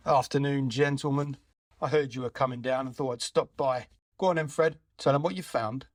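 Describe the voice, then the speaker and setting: A man's voice: medium pitch, normal speed, no echo, studio quality, lightly acted. An actor playing a smug, gloating male police inspector who goads others in an audio play. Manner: smug, goading. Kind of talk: audio drama acting.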